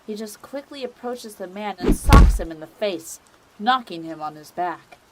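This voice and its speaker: A woman's voice: mid-range voice, animated, normal speed, very noisy. A woman reading scripted narration for a dramatic audio story.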